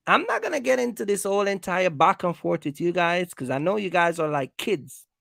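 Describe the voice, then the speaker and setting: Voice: medium pitch, very animated, fairly quick, gravelly, a bit bright, dry recording, clean studio sound. A content creator delivering a casual, confrontational rant, repeating points and throwing out rhetorical questions. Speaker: YouTube content creator, trucking vlogger. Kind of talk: casual rant to camera, calling out rivals.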